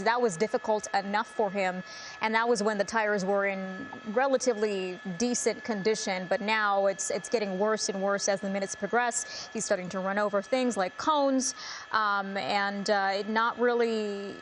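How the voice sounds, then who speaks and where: A woman news anchor giving unscripted live commentary in halting, hesitant phrases. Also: medium pitch, slight background noise, low-quality recording.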